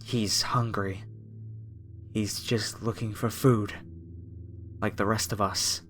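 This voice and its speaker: Guy acting as a creepy zealot cult captor, horror asmr, really slow n menacing, music behind him. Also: lowish voice, fairly even intonation, fairly bright tone. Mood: fervent devotion. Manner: slow, menacing, preacherly, reverent, with deliberate pauses. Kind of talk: horror ASMR roleplay monologue.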